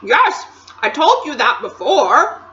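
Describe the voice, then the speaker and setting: An eager young boy giving short, polite answers, as a woman reading aloud voices him. The voice is a little raised above her own, and the lo-fi recording sounds dull with a soft hum behind it. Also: high voice, very animated, takes it slowly, pitched above the performer's own voice, heavily acted. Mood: impatient. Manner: polite at first, then careless.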